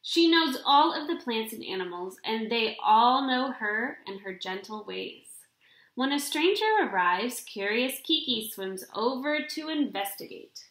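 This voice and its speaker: Young woman, higher-pitched bright voice, children's storybook read-aloud, expressive delivery. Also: a little slow. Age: young adult. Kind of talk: reading a children's picture book aloud. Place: small indoor room at home. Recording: roomy, echoing home webcam recording.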